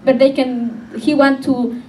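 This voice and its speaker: Young woman, Spanish native speaker, hesitant English, lots of fillers, higher mellow voice, flat pitch, far from mic. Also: a little slow. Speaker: author sharing her story. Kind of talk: halting public Q&A answers. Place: community media event room. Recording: distant, echoey with faint noise.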